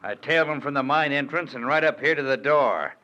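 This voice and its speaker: An actor playing a ruthless, mocking outlaw boss in 1940s radio acting style. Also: male voice, medium pitch, a little fast, bright, forceful tone, slight background noise, lightly acted. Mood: gloating. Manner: mocking, taunting. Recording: muffled old radio recording.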